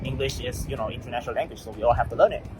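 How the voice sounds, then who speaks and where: A man's voice: mid-range voice, low-quality recording. A young man from Malaysia, a native Mandarin speaker, talking casually as a guest and often restarting his sentences. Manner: halting, with many restarts and fillers. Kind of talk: casual conversation in English.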